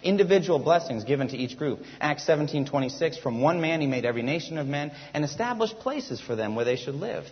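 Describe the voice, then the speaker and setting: A man's voice: a little high, lively intonation, quick pace, hardly any pauses. Earnest male preacher in a reverberant hall. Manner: earnest, emphatic, rhetorical questions. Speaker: pastor. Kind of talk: sermon. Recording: dull lo-fi church hall recording.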